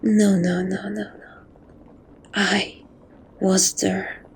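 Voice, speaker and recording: Slow, coaxing catgirl temptress, acted by a woman. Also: mid-range voice, little pitch movement, breathy edge, heavily acted. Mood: tender, possessive. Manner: soft, coaxing, teasing, doting. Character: seductive, possessive catgirl thief doting on her captive. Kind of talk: romantic ASMR roleplay.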